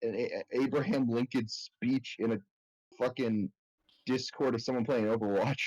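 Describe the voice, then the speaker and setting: A man's voice: mid-range voice, steady tone, normal speed, darkish tone, no echo, lo-fi sound. A man ranting comically in casual podcast chat, blunt, sweary and mock-lecturing. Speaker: podcast panelist, cosplayer.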